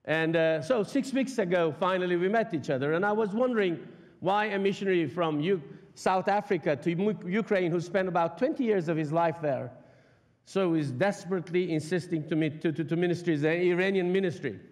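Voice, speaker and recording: A man's voice: high voice, a little fast, darkish tone. A middle-aged man from Iran whose first language is Persian, preaching a sermon in an impassioned, emphatic way and leaning hard on weighty words. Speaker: guest preacher. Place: large church sanctuary stage. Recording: long reverb of a church hall.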